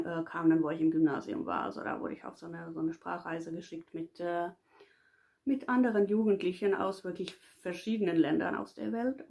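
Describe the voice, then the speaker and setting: A Finnish-accented woman, a native Finnish speaker, talks unscripted to the camera as a polyglot vlogger. Her voice sits low with a gravelly fry running through it. She pauses often, feeling her way toward each next word. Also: a little mellow. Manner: hesitant and thoughtful, searching for words. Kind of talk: casual monologue, speaking off the cuff.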